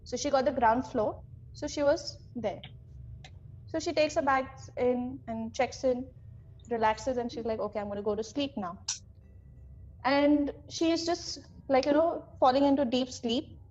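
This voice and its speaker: A woman's voice: high voice, a little slow, darkish tone, muffled recording. A young woman with an Indian accent casually retelling a story, acting out what people said, with music behind her. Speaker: guest storyteller, cabin crew.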